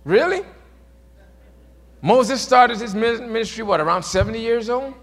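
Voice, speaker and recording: A man preaching a sermon, moving from quiet, low passages to loud, emphatic peaks. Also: moderate pace, long reverb tail. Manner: swings from soft to shouted, rising to emphatic peaks.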